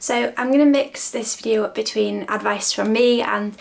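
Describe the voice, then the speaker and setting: A woman's voice: a little high, fairly even intonation, normal speed, vocal fry, a little hiss or hum. A young woman vlogging casually about her day with her cats, a little sniffly from a cold and laughing often. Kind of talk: casual vlog narration.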